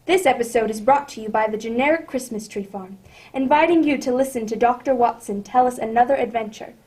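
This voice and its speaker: A woman plays an affable announcer in an old-time radio drama. The voice sits fairly high, with chummy, polished patter, like an eager guest of the storyteller. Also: fairly quick, a little mellow, close to an everyday voice. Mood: salesmanlike cheer.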